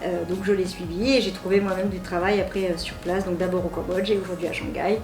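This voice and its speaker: A woman's voice: lowish voice, fairly even intonation, quick pace. A woman from Normandy working at a school abroad, answering an interview with many hesitations. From Normandy, France. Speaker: school activities coordinator. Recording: roomy, distant, music over it.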